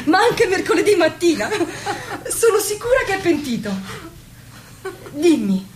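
An actress playing a devoted, trusting young noble wife in an Italian radio drama, speaking with earnest, pleading insistence. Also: female voice, high voice, a little hiss or hum. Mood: coaxing, playful, then insistent, pleading.

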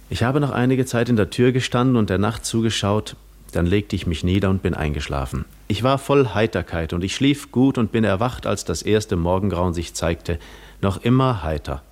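A sober skeptical wanderer telling his tale, lowish voice, fifties radio play. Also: darkish tone. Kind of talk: 1950s German radio drama, literary first-person narration.

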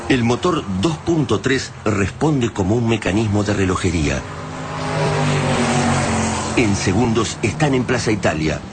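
A man's voice: lowish voice, expressive, a little slow, pauses often, heavy background noise. A man narrating a radio drama in a dramatic, scripted style.

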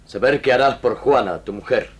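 An actor playing an honourable army lieutenant in a radio drama, sincere and formal, with an Argentine accent. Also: male voice, medium pitch, moderate pace, breathy edge, lightly acted. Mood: earnest, respectful.

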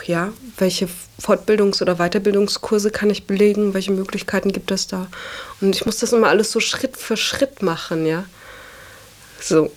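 A woman's voice: medium pitch, a little mellow. A woman in her twenties talking casually and reflectively about herself, often tagging phrases with a questioning "ja". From Germany. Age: 20s. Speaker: interviewee. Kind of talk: reflective casual personal talk.

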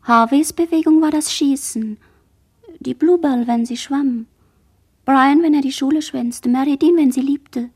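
A woman playing a dazed, traumatized girl survivor in a halting, introspective radio drama monologue that dips into whispers. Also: sits high, a little mellow. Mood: dreamy, drifting. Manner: halting, fragmented, introspective delivery, dropping into whispers.

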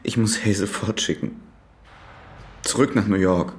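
A man's voice: lowish voice, fairly even intonation, unhurried, close to an everyday voice. An actor playing a weary, defensive male prison inmate in a radio drama, speaking naturalistically.

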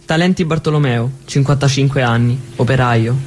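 An actor playing a solemn announcer who formally reads out names and details in short phrases for radio theatre. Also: male voice, medium pitch, moderate pace, darkish tone, close to an everyday voice. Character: male announcer solemnly reading a roll of victims. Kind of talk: scripted radio theatre roll call. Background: music with trumpet.

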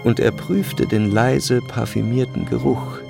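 A man narrating a literary radio play in a measured, brooding, deliberate way, over music. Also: medium pitch, fairly even intonation, gravelly. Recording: music behind the voice. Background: string music.

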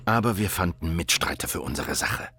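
A man acting as a brooding young revolutionary in a historical radio play. His voice sits low and he tells things in a measured, reflective manner, with music clearly behind him. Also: natural voice. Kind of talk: audio drama narration and dialogue.

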